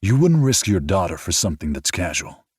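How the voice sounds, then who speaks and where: A man's voice: sits low, very animated, a little fast, husky, dry recording, clean studio sound, voice pushed up, full character voice. A man voicing Crew, a frank straight-talking ex. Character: frank adult man, the heroine's ex and friend.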